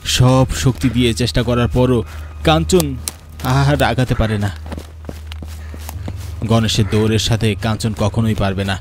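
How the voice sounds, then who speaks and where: A man's voice: medium pitch, steady tone, unhurried, long pauses, a little hiss or hum. A man narrating a scripted horror cartoon story in a dramatic storytelling style.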